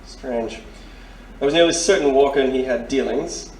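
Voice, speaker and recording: A man's voice: mid-range voice, moderate pace, darkish tone, close to an everyday voice. A man playing a courteous, shrewd detective major in an audio drama, speaking formally and with polite insinuation. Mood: measured, grave. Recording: roomy, distant mic, faint noise.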